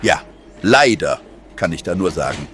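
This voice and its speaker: A man's voice: low-pitched, sing-song, moderate pace, a bit bright, a little hiss or hum. Man playing a smug, swooping music mogul. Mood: smug, sighing. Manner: theatrical, self-important. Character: smug, greedy male boss of a music publishing house. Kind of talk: comedy radio play acting.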